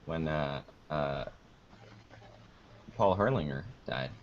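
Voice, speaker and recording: A man's voice: extremely low pitch, expressive, takes it slowly, slight background noise. A young American man chatting casually as an interviewed podcaster, with a joking, playfully melodramatic manner. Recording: dull lo-fi call-like audio.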